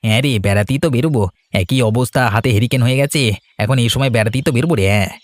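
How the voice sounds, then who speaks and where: A man's voice: mid-range voice, rapid-fire, no room sound, close to an everyday voice. A man voicing an obliging, henpecked village farmer in a cartoon story, folksy and a little grumbling. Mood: sarcastic. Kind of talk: Bengali cartoon story voice acting.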